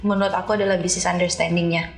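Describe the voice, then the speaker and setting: A woman's voice: lowish voice, little pitch movement, racing, vocal fry. A woman explains her work casually in an interview, using examples and dropping in English terms. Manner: explains with examples and analogies, informal. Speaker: guest, senior data scientist. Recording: roomy echo, faint music behind.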